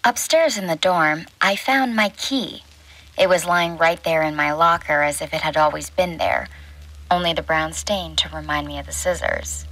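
Husky, serious woman doctor. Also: low voice, expressive, normal speed, fairly bright tone, full character voice, poor audio. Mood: serious, reasoning. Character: serious woman doctor giving a deductive account. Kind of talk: audio drama monologue.

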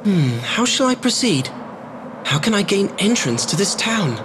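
A man's voice: high-pitched, very animated, unhurried, noisy background. An actor playing a cursed young male rabbit-folk prince who speaks politely and earnestly. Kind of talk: video game cutscene acting. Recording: loud game music behind.

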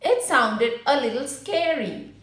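Casting: female storybook reader for children, very animated intonation, unhurried pace, roomy echoing sound. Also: high-pitched. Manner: expressive, unhurried picture-book delivery. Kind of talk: read-aloud storytelling for children with brief asides.

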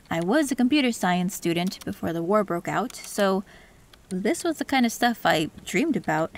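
Woman doing a quiet wistful cyberpunk tech girl, soothing, faint hiss behind. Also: mid-range voice. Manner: soft, soothing, reflective with small laughs. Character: gentle young woman tech fixer, wistful. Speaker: voice actor. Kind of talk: audio roleplay.

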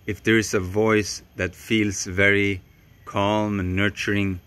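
A young man whose first language is Swedish talks straight into a camera held at arm's length, teaching outdoors in a tropical garden. His pace is very slow and unhurried, with long, deliberate pauses. His voice sits a little low and stays calm and even. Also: clean tone. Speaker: voice coach.

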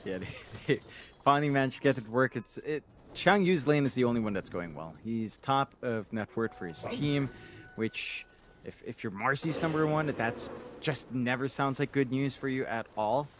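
Mid-pitched male analyst caster. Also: normal speed, fairly bright tone, a little hiss or hum. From Philippines. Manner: explanatory, playful, self-deprecating jokes. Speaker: esports color commentator. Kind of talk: analytical game commentary.

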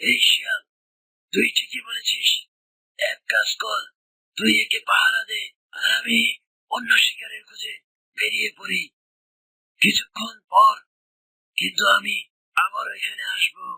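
A performer voicing a hungry, bullying tiger in a children's cartoon, scolding and menacing in a breathy, rasping voice. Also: medium pitch, flat delivery, measured pace, long pauses, pushed and bright, dry recording, heavily acted, poor audio. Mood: grumbling.

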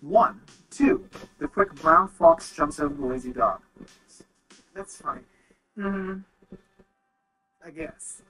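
A man's voice: on the high side, slow, long pauses, bright, forceful tone, slightly rough, low-quality recording. A synthetic male voice reading a short text sample.

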